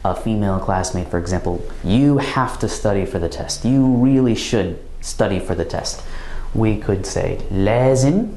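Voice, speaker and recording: A man giving a recorded language grammar lesson, explaining slowly and carefully with example phrases. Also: lowish voice, mellow, echoey room, slight background noise. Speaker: language teacher.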